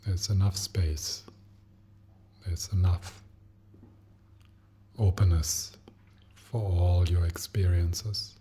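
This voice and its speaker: A man leading a guided meditation as a teacher, speaking gently and softly in short, unhurried phrases with long pauses and a breathy, husky tone. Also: low voice, steady tone, a little hiss or hum.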